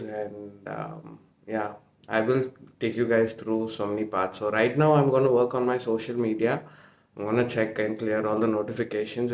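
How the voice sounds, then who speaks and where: A man's voice: lowish voice, darkish tone. A man with a Tamil accent vlogging casually to camera, speaking hesitantly with many fillers and restarts. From South India. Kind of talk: casual vlog talk to camera. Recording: roomy echo.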